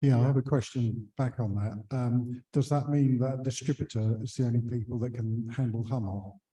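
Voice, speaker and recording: A man's voice: medium pitch, steady tone, normal speed, warm tone. A man asking a question from the floor at a meeting, casual and a bit unsure. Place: meeting hall. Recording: echoey hall.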